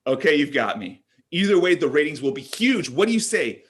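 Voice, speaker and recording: A man playing a brash, pushy, crass tech executive in his thirties, pitching like an overblown salesman in a comedy table read. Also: very animated, fairly quick, slight background noise. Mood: pushy, callous. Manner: overblown salesman patter, dramatic exclamations.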